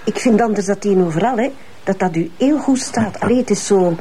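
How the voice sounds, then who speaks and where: An actress with a Flemish accent playing a friendly, flirtatious police colleague in a TV drama. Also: female voice, mid-range voice, a little fast, warm tone, a little hiss or hum, lightly acted. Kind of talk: TV drama acting.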